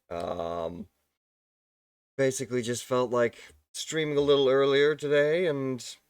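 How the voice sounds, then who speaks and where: A man hosting a live game stream while sick, a little raspy, talking casually and courteously with his viewers and chuckling often. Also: medium pitch, a little mellow, no room sound, studio quality. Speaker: livestream host. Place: at home.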